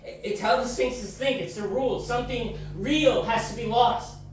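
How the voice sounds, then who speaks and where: A woman playing a guilt-ridden, weary killer who follows orders in an audio drama, halting and self-justifying. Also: on the high side, lightly acted. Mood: defensive, guilty. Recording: very echoey, distant mic, faint noise.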